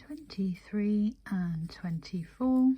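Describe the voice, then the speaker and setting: A woman's voice: medium pitch, lively intonation, slow, mellow. A woman hosting a crochet tutorial, giving step-by-step instructions and counting aloud as she goes.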